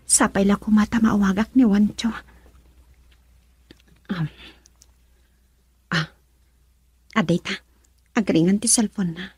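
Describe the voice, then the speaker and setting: An actress playing a guarded, testy woman with a slightly husky voice in a radio drama. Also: mid-range voice, slow pace, long pauses, a little mellow, dry recording, faint music behind.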